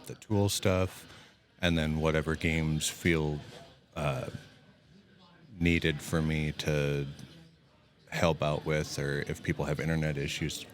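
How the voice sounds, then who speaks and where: Very deep-voiced man, loud and plainspoken; event admin, casual interview guest. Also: little pitch movement, takes it slowly. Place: event hall at a gaming party.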